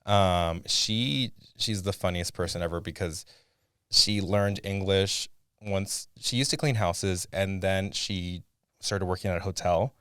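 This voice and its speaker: A young man, born in Tijuana and raised in San Diego, a Spanish speaker chatting as a podcast guest and telling animated, laughing anecdotes. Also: low voice, measured pace, clean tone, no room sound, clean studio sound. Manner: animated storyteller, laughs often, acts out voices in anecdotes. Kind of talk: casual podcast chat.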